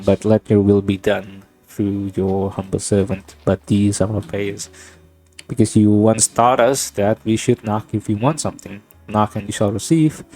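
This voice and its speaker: A man's voice: fairly low pitch, dark tone. A young man from Indonesia, a native Indonesian speaker, musing aloud in a rambling, reflective monologue while playing a game, often stopping and restarting his sentences. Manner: thoughtful, halting, with many restarts and fillers. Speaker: gaming vlogger.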